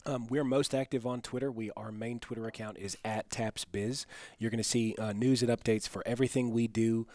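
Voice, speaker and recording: A man giving an informal explanatory briefing as a media director, matter-of-fact and somewhat halting. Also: medium pitch, fairly even intonation, a little mellow, no echo.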